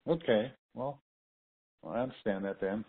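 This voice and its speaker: A man's voice: fairly low pitch, unhurried, slightly rough. A man calling in to a conference call, chatting casually in a polite, hesitant, tentative way. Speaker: caller. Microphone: phone. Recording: narrow tinny phone line.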